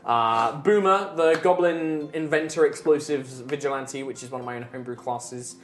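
A man's voice: medium pitch, normal speed, echoey room, soft background music. A man running a tabletop game, recapping the story in a chatty, rambling way with lots of fillers. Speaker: game master.

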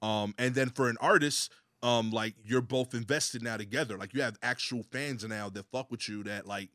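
A man's voice: medium pitch, moderate pace, fairly bright tone, dry recording. Guest, young man, easygoing slangy talker, dry studio mic. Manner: laid-back, slangy. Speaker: podcast guest. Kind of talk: casual podcast chat. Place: podcast studio.

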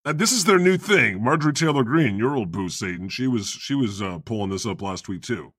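A man's voice: medium pitch, animated, fairly quick, fairly bright tone, breathy edge, no echo, studio quality. A man playing an irreverent God who hosts a comedy podcast with flippant, profane, teasing banter. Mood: mocking, flippant. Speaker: podcast host.

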